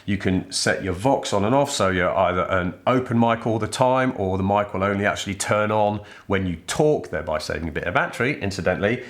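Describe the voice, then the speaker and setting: A man's voice: on the low side, fairly quick, few pauses. British man presenting a chatty product review. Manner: chatty, irreverent, with mild swearing and slang. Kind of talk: informal product review to camera. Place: indoor studio set styled as a workshop.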